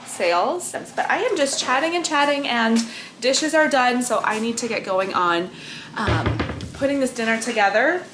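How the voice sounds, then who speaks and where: A middle-aged woman talks casually to the camera in a conversational, explanatory way. Her voice sits a little high. There is faint noise behind her, as in an everyday vlog. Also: lively intonation, moderate pace. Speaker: homeschooling mother and vlogger.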